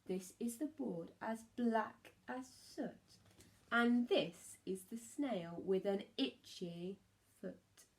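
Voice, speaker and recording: A woman narrating a rhyming children's story like a primary teacher, expressive and emphatic on key words. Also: medium pitch, slow pace, creaky.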